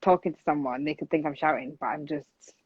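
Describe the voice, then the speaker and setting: Loud, earnest young woman, dull dry sound. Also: sits low, fairly even intonation, a little fast, husky, no echo. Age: 20s. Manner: loud, earnest, talks in long runs. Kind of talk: casual conversation.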